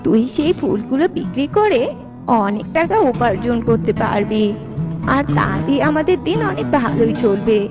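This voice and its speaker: Cartoon granny owl, a woman acting: elderly, doting, comforting, somewhat high-pitched, music behind. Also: big pitch swings, a little slow. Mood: kindly advising. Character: kindly old grandmother owl, protective and comforting. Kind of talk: children's cartoon character dubbing.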